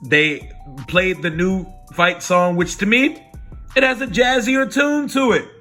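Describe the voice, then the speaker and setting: Hoarse, animated fan vlogger man. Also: sits high, a little slow, soft background music. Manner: animated, profane, opinionated. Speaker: sports vlogger. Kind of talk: casual fan recap rant.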